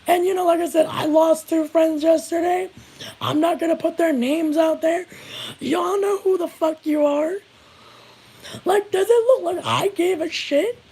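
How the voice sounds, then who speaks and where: A woman's voice: very high-pitched, a little slow, creaky, a little mellow, low-quality recording. A woman with an American accent giving a casual opinion rant to viewers, blunt and indignant, swearing freely. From United States. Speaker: vlogger.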